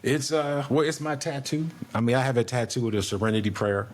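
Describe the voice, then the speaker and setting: A man's voice: mid-range voice, a little fast. A middle-aged man, a podcast guest, talking in a laid-back, rambling way with many restarts and fillers. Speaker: podcast guest, air traffic controller. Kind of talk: casual conversational interview.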